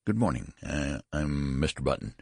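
Man acting a frantic, husky-voiced father. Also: a bass voice, sing-song, normal speed, dry recording, studio quality, full character voice. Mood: nervous. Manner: agitated, gasping, hoarse outbursts. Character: frantic, mortified father on the verge of collapse.

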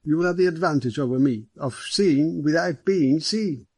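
A man's voice: a little high, normal speed, a little mellow, breathy edge, no room sound, pitched above the performer's own voice. A man voicing a large, genial, middle-aged male police superintendent who talks courteously and heartily.

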